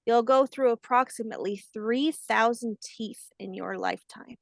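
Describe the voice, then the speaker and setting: A woman voicing a kindly, patient old grandmother alligator, telling facts in a gentle storybook manner. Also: on the high side, moderate pace, creaky, dry recording, studio quality. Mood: proud, instructive. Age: older.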